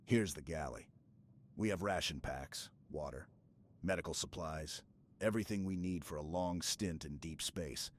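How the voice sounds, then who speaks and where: Man voicing a very deep, stoic super-soldier. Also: moderate pace, natural voice. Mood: reassuring, steady. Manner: steady, clipped, reassuring, military. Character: stoic, protective armored male super-soldier, calm commander. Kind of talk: ASMR roleplay, scripted dramatic monologue. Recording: clear background ambience noise.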